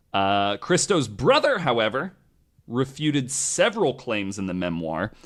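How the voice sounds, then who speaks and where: Low-pitched man, casual podcast storyteller. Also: fairly bright tone. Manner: informal, swears casually. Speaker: podcast host.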